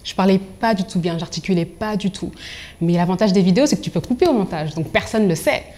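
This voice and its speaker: A young woman from Angola and Congo, speaking as a professional coach in an interview, articulate and expressive as she tells her own story. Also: mid-range voice, fast talker. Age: young adult.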